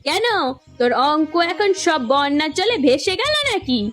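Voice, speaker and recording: A woman's voice: sits high, very animated, moderate pace, fairly bright tone, soft background music. A woman voicing a bossy, moralising village woman in a cartoon, with a haughty, scolding way of talking. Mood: angry scolding. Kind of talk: cartoon voice acting.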